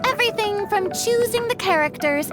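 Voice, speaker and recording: A woman's voice: very high-pitched, animated, moderate pace. A woman voicing a proud, theatrical young female archon in a video game, with a pompous, flamboyant delivery. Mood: haughty, fussy. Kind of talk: video game character voice lines. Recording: music behind the voice. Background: music.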